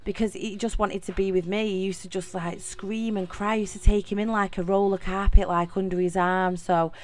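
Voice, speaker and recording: A woman's voice: medium pitch, fairly even intonation, moderate pace. A young woman with a Mancunian accent hosting her own podcast, talking in a chatty, rambling way with many fillers and restarts. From Manchester, England. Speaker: podcast host. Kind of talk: casual solo podcast monologue.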